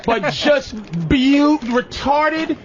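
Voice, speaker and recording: A man with a strikingly high-pitched voice hosts comedic talk radio. He is blunt, foul-mouthed and argumentative. The audio is a little muffled and lo-fi. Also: very slow, over a noisy crowd. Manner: profane, blunt, confrontational; slips into mocking impressions of women. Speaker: radio show host.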